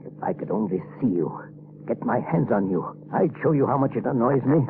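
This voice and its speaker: An actor playing a hot-tempered, haunted criminal in 1930s radio melodrama, agitated and defiant. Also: male voice, mid-range voice, big pitch swings, a little fast. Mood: angry, defiant. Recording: noisy 1930s radio broadcast, narrow band.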